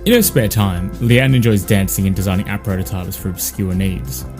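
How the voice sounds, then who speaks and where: A man delivers a scripted podcast outro as host. His voice sits fairly low, with music playing clearly behind him. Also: normal speed.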